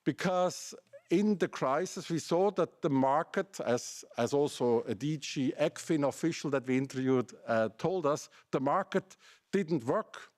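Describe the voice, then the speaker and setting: A man's voice: on the high side, expressive, unhurried, darkish tone. A middle-aged man with a German accent giving a seminar presentation, building his case through pointed rhetorical questions. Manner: argumentative, asks rhetorical questions. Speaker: academic speaker.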